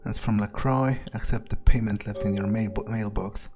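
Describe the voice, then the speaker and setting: A man playing a brooding, casually ruthless vampire who thinks aloud in an unhurried mutter, as in-character game narration. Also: on the low side, fairly even intonation, lightly acted. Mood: brooding, matter-of-fact. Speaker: let's player role-playing in character. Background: game music.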